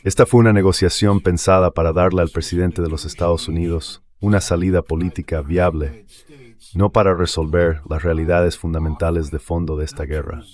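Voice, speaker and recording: A man's voice: deep voice, fairly even intonation, brisk, darkish tone. A man speaking as a political guest commentator, explaining things in a blunt, emphatic way in a translated voice-over.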